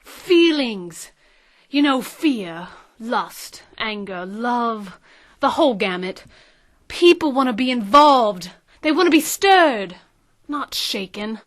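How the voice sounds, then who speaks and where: An actress playing a brisk, persuasive marketing consultant with an emphatic, salesy manner in an audio drama. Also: female voice, fairly high pitch, lively intonation.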